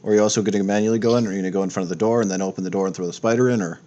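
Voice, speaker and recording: A man with a lowish voice serves as game master. He narrates a tabletop roleplaying game and checks what the players want to do. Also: steady tone, racing, mellow, highs cut off.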